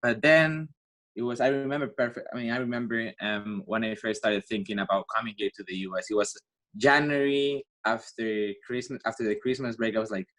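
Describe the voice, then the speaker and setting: A young man from Spain with a Spanish accent, speaking English as a second language as a student guest in a casual podcast chat, with a halting way of talking and many restarts. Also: medium pitch, fairly even intonation, fairly bright tone, poor audio. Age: young adult.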